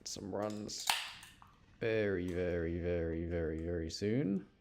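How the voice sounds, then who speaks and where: A man's voice: deep voice, slow, vocal fry, background noise. A man chatting casually on a game livestream, rambling along and addressing his viewers. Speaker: streamer. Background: game music.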